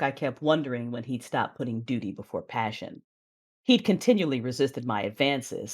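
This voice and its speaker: A woman narrating an audio drama in the first person, with a deliberate, theatrical delivery. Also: rumbling low.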